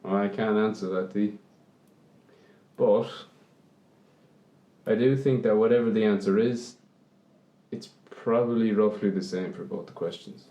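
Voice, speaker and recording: A man's voice: fairly low pitch, a little slow, pauses often, mellow, highs cut off, close to an everyday voice. A man playing a weary, caring Irishman in naturalistic radio drama, speaking plainly and reflectively, with some hesitation, in a roomy echo. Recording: roomy, echoing sound.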